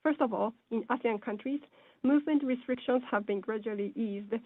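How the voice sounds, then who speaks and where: A woman giving a formal, scripted financial presentation in a measured, businesslike way over a conference call. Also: fairly high pitch, dry recording. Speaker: presenter on an earnings call, speaking as or interpreting for a company executive. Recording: phone-like narrow-band conference call line.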